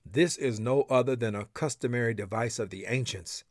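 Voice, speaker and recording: A man reading scripture aloud in English in a solemn, measured and deliberate way, with dramatic phrasing. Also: medium pitch, dry recording, clean studio sound. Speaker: reader of a scripture translation.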